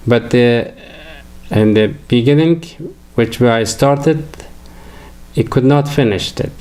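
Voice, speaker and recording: An older man from Afghanistan with an Afghan accent, a native Farsi speaker, giving a halting religious lecture full of fillers and restarts. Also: mid-range voice, darkish tone, smooth. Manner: hesitant, many fillers and restarts.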